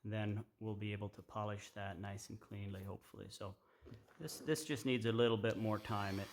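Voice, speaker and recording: A middle-aged man giving a casual, unhurried hands-on tutorial in an informal, explanatory way. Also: on the low side, fairly even intonation. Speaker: craft tutorial host. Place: indoor workshop at a workbench. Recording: clear background noise with clicks.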